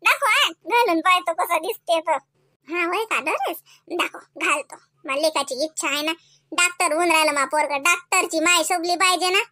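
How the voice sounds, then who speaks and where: A woman's voice: very high-pitched, moderate pace, sharp tone, no echo, studio quality. A woman voicing a bashful, fussing middle-aged village mother in a comedy cartoon, with a Varhadi Marathi accent and a coy, scolding, exclamatory manner. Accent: Varhadi Marathi from Vidarbha.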